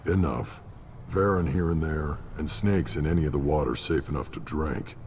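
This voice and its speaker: A man playing a gruff, battle-hardened alien scout commander in a video game, speaking bluntly in a gravelly voice. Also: extremely low pitch, moderate pace, darkish tone. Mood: gruff, matter-of-fact. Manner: blunt, matter-of-fact. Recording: long reverb, clear background noise.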